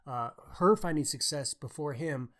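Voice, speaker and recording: A man's voice: fairly high pitch, moderate pace. A man co-hosting a podcast, talking conversationally about books. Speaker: podcast co-host. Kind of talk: conversational book discussion.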